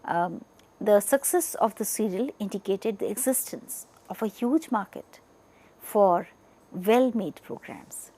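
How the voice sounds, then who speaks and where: A woman's voice: medium pitch, takes it slowly, warm tone. A woman with an Indian accent giving scripted educational narration as a media education presenter.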